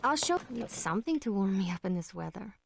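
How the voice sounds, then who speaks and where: A woman's voice: mid-range voice, very animated, a little fast, creaky. An actress playing a chatty, reassuring young woman in a video game cutscene.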